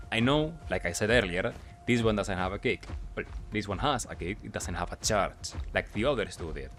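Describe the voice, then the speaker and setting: A man giving casual, explanatory gameplay commentary, halting and correcting himself as he reasons through his points. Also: on the low side, fairly bright tone. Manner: halting, self-correcting, asks and answers own questions. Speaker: gamer, video commentator.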